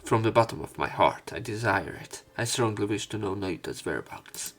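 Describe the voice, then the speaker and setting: A man reading a visual novel aloud with commentary, in a theatrical, expressive way. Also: lowish voice, normal speed. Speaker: let's player narrating and commenting on a visual novel. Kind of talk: dramatic read-aloud with commentary.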